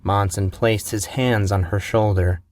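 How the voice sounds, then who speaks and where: Slow, low-voiced male narrator. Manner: measured, steady storytelling. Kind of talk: audiobook narration of a fantasy novel.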